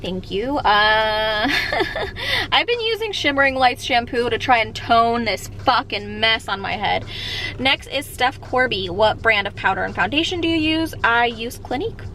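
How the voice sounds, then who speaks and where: Bright-voiced, higher-pitched woman. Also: lively intonation, a little slow, poor audio. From Czech Republic, later the United States. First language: Czech. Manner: candid, colloquial, sprinkled with "like". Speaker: vlogger answering viewer questions. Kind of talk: casual chat, Q&A to camera.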